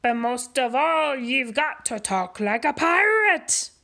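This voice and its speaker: An impatient pirate captain from a picture book barks out pirate slang and rhyming chants, as a woman puts him on. The voice sits a bit high and bright, and the delivery is slow and emphatic. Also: very animated, vocal fry, full character voice. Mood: chanting. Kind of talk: storybook read-aloud.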